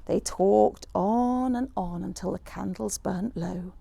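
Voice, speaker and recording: A woman reading a rhyming children's picture book aloud in an expressive, rhythmic storyteller's manner. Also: mid-range voice, takes it slowly, creaky, husky. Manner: animated, rhythmic rhyming delivery.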